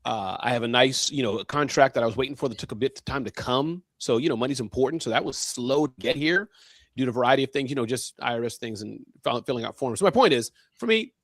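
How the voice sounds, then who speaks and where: A man in his fifties chatting casually as a panelist, with an animated, emphatic way of talking. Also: medium pitch, fast talker, no room sound. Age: 50s. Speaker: panelist on a small business show. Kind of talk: casual chat.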